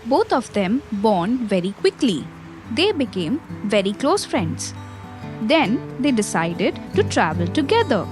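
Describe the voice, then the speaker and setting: A woman's voice: a little high, sing-song, unhurried, over music. A woman narrating a scripted moral story for listeners.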